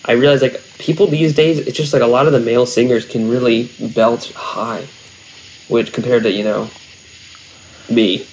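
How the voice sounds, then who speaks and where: A young man in casual conversation, wandering through his thoughts with plenty of fillers and false starts. His pitch sits in an ordinary middle range. A faint noise hangs under the recording. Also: steady tone, normal speed, low-quality recording. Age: young adult. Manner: rambling, many fillers and restarts. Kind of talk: casual chat.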